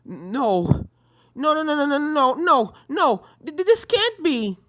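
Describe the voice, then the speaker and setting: A storybook reader voicing a young filly heroine, frightened and desperate, in high stammering cries. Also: big pitch swings, slow, a bit bright, heavily acted. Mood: horrified, pleading.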